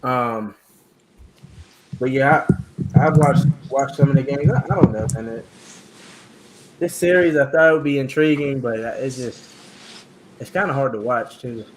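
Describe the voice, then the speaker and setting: A man hosting a casual chat about sports and faith, talking in halting bursts with many fillers and restarts. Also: mid-range voice, background noise. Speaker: podcast host.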